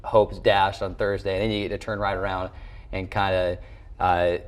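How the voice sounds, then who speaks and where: A man's voice: deep voice, level tone, normal speed, clear, resonant tone. An American man hosting a college sports interview show, recapping a season and putting questions to his guest. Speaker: sports show host and play-by-play broadcaster.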